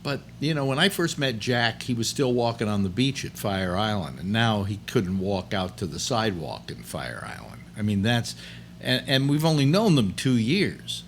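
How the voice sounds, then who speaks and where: A man in his late seventies hosting a casual chat, rambling and halting with many restarts. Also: lowish voice, sing-song, slight background noise. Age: 70s. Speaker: host.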